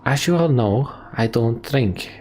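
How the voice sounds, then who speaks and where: A man voicing brash, teasing fantasy mercenaries in a video game, with loud, jeering banter. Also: mid-range voice, expressive, measured pace, a little hiss or hum, pitched above the performer's own voice, close to an everyday voice.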